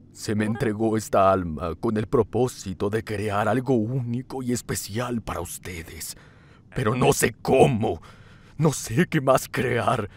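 A man with a Latin American accent playing a weary, guilt-ridden older skeleton father and creator, solemn and anguished, in an animated fan dub. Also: fairly low pitch, slight background noise.

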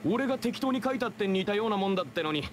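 An actor voicing a rough, greedy young male thug in an anime-style game, brusque and scornful. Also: high-pitched, expressive, racing, background noise. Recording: game music behind.

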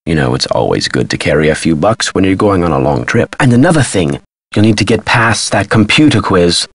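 Husky, theatrical, eccentric scholar. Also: male voice, low voice, animated, brisk, full character voice. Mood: intense, musing. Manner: theatrical, emphatic, swinging delivery. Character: eccentric, agitated male amnesiac Maya scholar. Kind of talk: video game voice acting.

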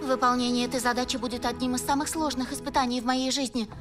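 High-voiced, earnest young pony princess acted by a woman. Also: flat delivery, fast talker, music in the background, lightly acted. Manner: earnest, dutiful, fretful. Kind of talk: dubbed cartoon acting.

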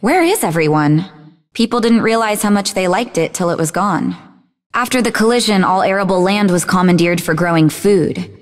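Woman acting a snarky, animated young psychic in reverb. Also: mid-range voice, normal speed, close to an everyday voice. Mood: reflective. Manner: sarcastic, teasing. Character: sarcastic young woman psychic, snarky, sharp-witted, easily exasperated. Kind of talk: video game voice acting.

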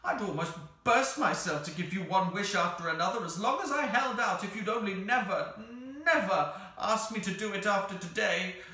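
A man's voice: fairly high pitch, very animated, normal speed, pushed and bright, heavy reverb, voice pushed up, heavily acted. A man voicing a crotchety, put-upon furry sand fairy from a children's storybook, querulous, stammering and prone to tearful pleading.

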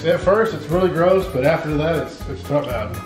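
A man's voice: fairly high pitch, moderate pace, music in the background. A man in his thirties filming a casual family vlog in a hotel room, upbeat and teasing, laughing often. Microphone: handheld camera.

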